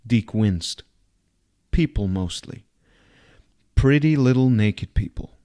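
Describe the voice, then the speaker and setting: A man reading fiction aloud in a dramatic, expressive audiobook style. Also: mid-range voice, takes it slowly. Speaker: reader.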